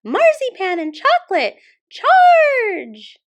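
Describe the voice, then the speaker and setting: Woman as storybook mouse general, male, very high squeak, greedy, bossy, loud shouted commands. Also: huge pitch swings, slow, heavily acted. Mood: greedy, commanding.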